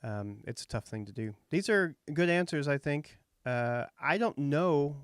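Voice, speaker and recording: A man in his thirties from Texas with a light Texas accent, hosting a relaxed, folksy interview and thinking out loud with fillers. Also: on the high side, measured pace, clear, resonant tone, dry recording, studio quality. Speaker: podcast host. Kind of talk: casual interview chat.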